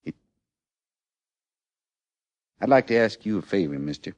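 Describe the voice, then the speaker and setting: A doomed outlaw of the American West for a radio drama. He speaks low in a dry, unechoing space, with a rustic Western drawl. His delivery is halting, folksy and full of plain regret. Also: animated, a little mellow, dry recording. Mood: sorrowful, pleading. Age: 30s. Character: condemned thirty-six-year-old frontier outlaw, rueful and plainspoken. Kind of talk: mid-century American radio western acting.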